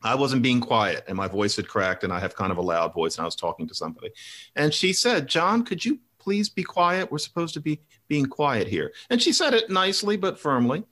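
Middle-aged man, reflective, conversational, mid-pitched voice, voice leaps up for emphasis. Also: very animated, fairly quick, sharp tone. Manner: reflective storytelling, pitch rising sharply when emphatic. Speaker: commentator. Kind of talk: conversational discussion.

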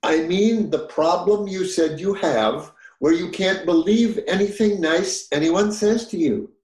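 A man's voice: high voice, a little slow, darkish tone. An actor playing a bewildered, good-natured older man on a date in a romantic comedy audio play, stammering and protesting. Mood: flustered protest. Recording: roomy, echoing voice recording.

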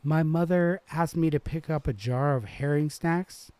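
A man's voice: fairly high pitch, a little slow, dark tone, clear, resonant tone, no room sound, pitched above the performer's own voice, close to an everyday voice. A man voicing a prim, haughty teenage girl who sounds defensive.

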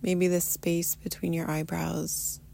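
Low, soft-spoken woman guiding meditation. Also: level tone, slight background noise. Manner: soothing, unhurried, often trailing into breathy near-whisper. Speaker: guided meditation teacher, podcast host. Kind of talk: guided meditation, soft instruction.

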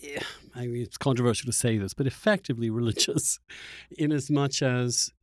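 Halting, reflective male podcast host, dry sound. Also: medium pitch, no room sound. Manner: halting, searching, repeats words as he thinks. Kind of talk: reflective conversational interview.

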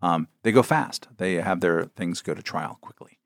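Very deep-voiced man in dry chat. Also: no room sound. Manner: halting, dry, lots of fillers. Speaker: podcast co-host. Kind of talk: casual political chat.

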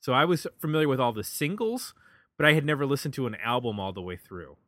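Bright-voiced American man hosting a podcast. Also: mid-range voice, animated, quick pace, no echo. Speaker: podcast host. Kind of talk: casual music podcast talk.

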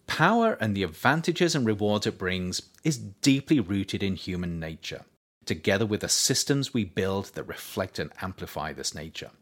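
A man narrates his own nonfiction book, his voice sitting on the low side. He reads in a measured, emphatic way, swinging his pitch up on key words and letting it sink at each sentence's close. The effect is an animated, thoughtful reading. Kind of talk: audiobook narration.

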